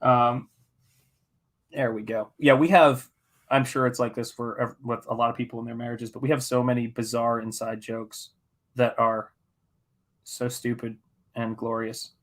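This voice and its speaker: A man's voice: medium pitch, flat delivery, normal speed, long pauses, a bit bright. A middle-aged man from the United States chats casually while painting on a livestream, rambling in a jokey, self-deprecating way. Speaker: artist hosting a live painting stream.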